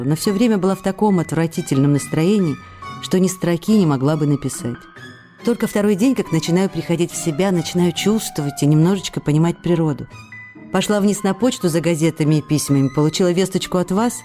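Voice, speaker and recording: A woman's voice: fairly low pitch, a little fast, warm tone, close to an everyday voice. An actress playing an affectionate, impulsive stage actress who reads her letters in an intimate, chatty, coy way for a radio play. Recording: radio drama with faint music behind.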